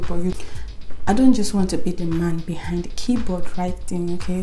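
A woman in her twenties with a Nigerian accent, talking casually to camera in a get-to-know-me vlog, often adding "okay?" and "right?". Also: fairly low pitch. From Imo State, Nigeria. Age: 20s. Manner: chatty, animated hand gestures, tag questions like "okay?" and "right?". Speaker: vlogger. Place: indoor room before a plain wall.

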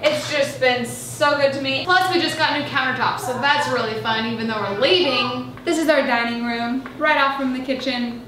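Young mom vlogger, high-pitched, chatty and wistful, handheld camera in echoey rooms. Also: female voice, normal speed, few pauses. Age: young adult. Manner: chatty, wistful, filler-heavy. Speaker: family vlogger. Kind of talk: casual house tour vlog. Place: suburban family house, indoors. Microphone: handheld camera held close. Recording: echoey rooms, faint noise.

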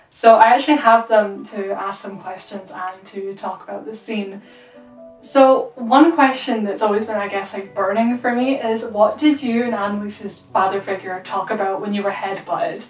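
A woman's voice: medium pitch, fairly even intonation, moderate pace. A woman in her twenties telling a story casually as a vlog host. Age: 20s. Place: small room at home with costumes. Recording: roomy echo, distant microphone, music underneath.

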